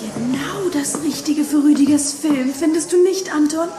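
A woman's voice: high voice, moderate pace, dark tone, echoey room, faint music behind, close to an everyday voice. An actress playing an eager, pushy schoolgirl in a German children's radio play, giggly and insistent. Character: eager, pushy schoolgirl keen on vampire films.

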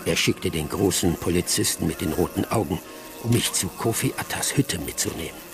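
An actor playing an old village elder who tells his story in an unhurried, wry, folksy way in a radio play. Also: deep voice, vocal fry, music in the background. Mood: wry storytelling. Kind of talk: radio drama first-person narration.

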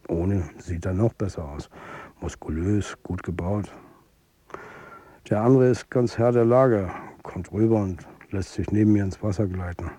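Husky, low-voiced confiding football coach. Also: male voice, warm tone, no room sound. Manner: confiding, colloquial. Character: world-weary, candid male football coach telling his story. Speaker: narrator and lead character. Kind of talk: intimate first-person radio drama narration.

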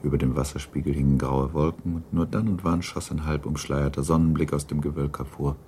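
Male narrator, very low voice, literary radio drama reading, faint noise behind. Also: steady tone, fairly quick, warm tone.

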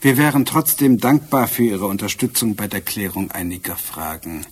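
An actor in a German radio play playing an urbane, courteous but persistent British police inspector with a measured, slightly hesitant manner. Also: male voice, on the low side, husky. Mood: formal, insistent.

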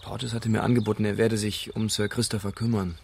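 A man playing a calm, reflective young Englishman giving a witness account in a German radio crime drama. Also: lowish voice, brisk, vocal fry, slight background noise. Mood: reflective, measured. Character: young Englishman, dying uncle's observant great-nephew, calmly forthcoming.

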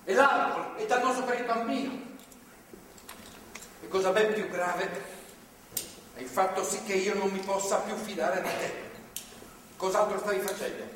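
An actor playing a stern, moralizing religious zealot who holds a woman captive, in a deliberate, authoritarian stage delivery. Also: sits high, fairly bright tone. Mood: stern, accusing. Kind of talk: live stage drama acting. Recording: distant, noisy, echoey theatre hall recording.

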